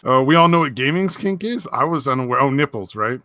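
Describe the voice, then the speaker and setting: A man's voice: medium pitch, animated, fast, pushed and bright, no room sound, clean studio sound. A man co-hosting a casual podcast from home over voice chat, crude and rambling, laughing often. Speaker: podcast co-host.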